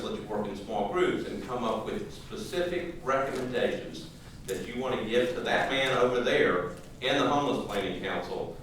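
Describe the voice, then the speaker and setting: A man's voice: fairly low pitch, fairly bright tone. A man facilitating a public meeting, talking informally and restarting his sentences. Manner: informal, conversational, with frequent restarts. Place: large room at a public library. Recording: echoey hall, faint room noise.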